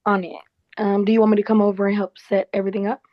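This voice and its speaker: Slightly lo-fi audio of a woman acting a helpful woman office assistant in a drama table read. She is conversational and cheeky, with an easygoing delivery at a middling pitch. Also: little pitch movement, racing, lightly acted. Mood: helpful, teasing. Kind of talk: casual naturalistic script read.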